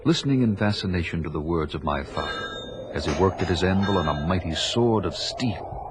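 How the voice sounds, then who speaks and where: A man's voice: deep voice, lively intonation, moderate pace, very noisy. A man playing a grim, vengeful barbarian warrior who recounts his life in grave, dramatic audio drama narration.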